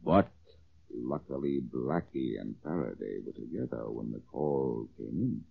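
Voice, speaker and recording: An actor playing a cocky, quick-witted amateur detective with wry self-assurance in a 1940s radio drama. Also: male voice, rumbling low, sing-song, gravelly, husky, exaggerated voice. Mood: playful, teasing storytelling. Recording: dull old radio recording.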